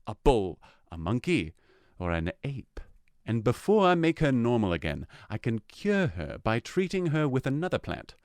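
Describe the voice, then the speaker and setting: A man's voice: medium pitch, no room sound, clean studio sound, lightly acted. A man playing a scheming, commanding fairy king in an audio drama, speaking in a grand, dramatic manner. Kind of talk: theatrical audio drama acting.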